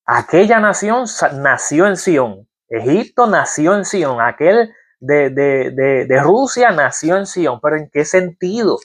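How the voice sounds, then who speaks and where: A man's voice: on the high side, animated, a little slow, few pauses. A man giving a Bible-teaching sermon, reading verses aloud and asking his listeners rhetorical questions. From Puerto Rico. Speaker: preacher.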